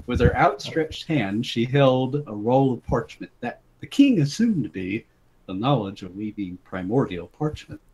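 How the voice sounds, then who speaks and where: A man reads a story dramatically among friends. His mid-pitched voice is very animated and theatrical, with an amused edge. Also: unhurried, breathy edge, rough recording. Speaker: reader of a story.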